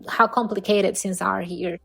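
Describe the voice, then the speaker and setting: A woman's voice: mid-range voice, steady tone, a little slow, vocal fry, breathy edge, soft background music. A woman from the Dominican Republic, an architect, reflecting on how work differs abroad. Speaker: guest architect. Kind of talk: recorded personal account.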